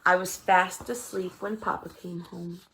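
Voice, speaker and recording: A woman reading a children's story aloud in an expressive, dramatized way. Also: lowish voice, unhurried, a little hiss or hum, poor audio. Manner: animated, dramatized delivery with character voices. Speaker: storybook reader.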